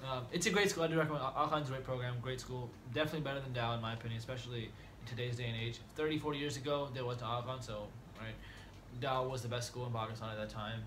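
A man's voice: medium pitch, fairly even intonation, very fast, background noise. A man, chatting casually and a bit slangy in a small dorm room, laughing easily. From United States. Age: 20s. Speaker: medical student guest. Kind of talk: casual chat.

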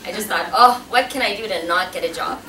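A woman's voice: mid-range voice, fairly quick, pushed and bright. Woman speaking at a podium in an echoey hall. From Pakistan. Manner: chatty, engaging the audience with questions. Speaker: guest speaker. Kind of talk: personal talk at a podium. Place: event hall with a backdrop, indoors. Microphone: podium mic, filmed from a distance. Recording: roomy, distant, noisy hall sound.

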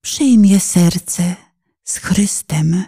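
Slow, mellow, husky woman reciting devotional poetry. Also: lowish voice. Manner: solemn, fervent, dramatic pauses, drops into whispers. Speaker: narrator.

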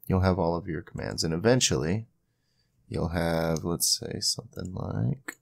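A man hosting an informal screen-recording software tutorial, rambling a little as he thinks aloud. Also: sits low, measured pace, gravelly, husky, no echo, clean studio sound. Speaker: tutorial host.

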